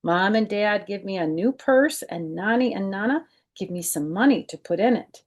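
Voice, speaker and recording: A woman's voice: medium pitch, lively intonation, normal speed. A woman hosting a children's storytime, reading a picture book aloud expressively and adding chatty, informal explanations.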